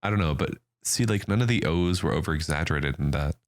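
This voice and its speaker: Deep-voiced man from Germany, blunt and slangy. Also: animated, fast talker. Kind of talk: casual opinionated chat.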